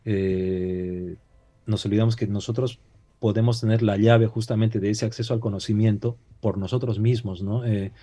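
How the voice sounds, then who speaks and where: A man's voice: fairly low pitch, fairly even intonation, normal speed, dark tone. A man from Peru with a Peruvian Spanish accent, a talk-show guest speaking in a reflective way with many hesitations and rhetorical questions. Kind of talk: casual talk-show discussion.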